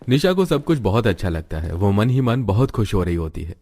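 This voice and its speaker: Low-voiced man narrating a cartoon story. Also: big pitch swings, brisk, a little mellow.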